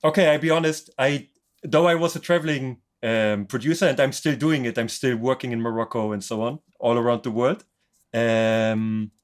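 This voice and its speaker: A man from Poland who grew up in Germany speaks as a podcast guest. His voice sits at a medium pitch, and his comes out upbeat and decisive. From Poland, raised in Germany. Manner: upbeat and enthusiastic, speaks English as a second language. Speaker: guest, music producer and author. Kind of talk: casual interview chat.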